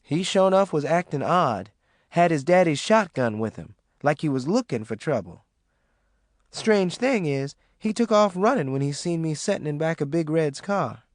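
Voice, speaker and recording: Man voicing a fearless boastful matriarch, emphatic, raised pitch, lively. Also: moderate pace, no room sound, studio quality, close to an everyday voice. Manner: bold, emphatic dialect speech. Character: fearless tough older Southern woman, boastful.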